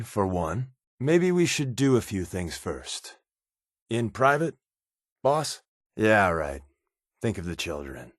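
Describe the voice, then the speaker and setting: An actor playing a sly, irreverent covert resistance agent in a video game, with an American accent and a wry, casual, sardonic way of talking. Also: male voice, low-pitched, no echo, clean studio sound. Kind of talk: video game character dialogue, conversational.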